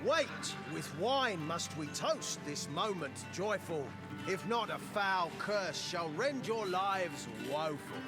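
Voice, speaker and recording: A man's voice: high voice, animated, slow. An actor playing a scheming male page, a villain in a stage play in a video game, delivering rhyming verse in a sly, theatrical manner. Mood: urgent. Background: game music.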